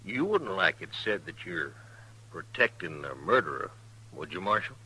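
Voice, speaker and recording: A menacing hired gunman from a 1950s radio western. His voice is low and sharply forceful. He drawls his folksy lines with smug insolence. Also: very animated, moderate pace, breathy edge, heavily acted, rough recording. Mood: smug defiance.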